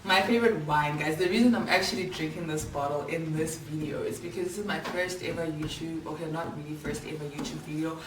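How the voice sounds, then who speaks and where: A woman's voice: low-pitched, brisk, hardly any pauses. A South African woman of twenty-five answering viewer questions in a casual, candid vlog chat, full of slang and fillers. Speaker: vlogger. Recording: echoey room, voice a little far from the mic, clear background noise.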